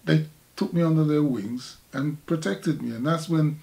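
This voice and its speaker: An older man from Jamaica with a Jamaican accent, an artist recalling his past in a halting, reflective conversation. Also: fairly high pitch, vocal fry, darkish tone. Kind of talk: casual recollection in conversation.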